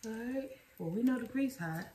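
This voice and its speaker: A woman's voice: on the high side, expressive, moderate pace. A woman in her forties cooking at home for a casual vlog, chatting to viewers as y'all. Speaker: cooking vlogger, mother. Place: home kitchen, indoors. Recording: kitchen noise, frying sizzle. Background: frying and dish clatter.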